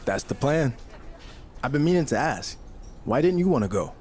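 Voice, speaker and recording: A man's voice: medium pitch, very animated, normal speed, darkish tone, slight background noise, natural voice. A man playing a confident, quipping young wrestling trainee with a dry delivery, in a video game cutscene.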